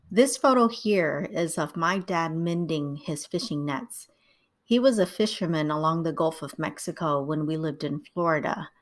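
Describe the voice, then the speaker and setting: Middle-aged woman, lowish voice, calm and measured tutorial narration. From Vietnam, raised in the United States. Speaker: writing tutor. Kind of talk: scripted instructional narration.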